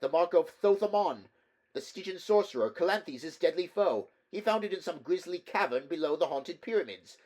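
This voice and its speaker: A man doing a shrill, terrified temple clerk. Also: lively intonation, moderate pace, a little mellow. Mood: fearful. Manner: shrill, cracking, frantic.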